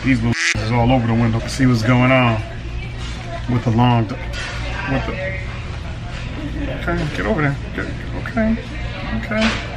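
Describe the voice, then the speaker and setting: A man in his forties casually reviewing food on camera, slangy and animated, reading his own written review aloud with flourish. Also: medium pitch, normal speed, long pauses, echoey room, music in the background, low-quality recording. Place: small restaurant dining room, indoors.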